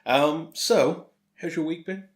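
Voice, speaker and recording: A man's voice: fairly high pitch, slow pace. Chatty British man hosting a podcast. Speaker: podcast host. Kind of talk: casual chat between friends. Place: room at home, no studio.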